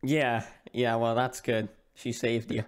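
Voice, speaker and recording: A man hosting a casual livestream interview, relaxed, laughing easily and reading viewers' questions aloud. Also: medium pitch, slow, clear, resonant tone. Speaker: stream interviewer.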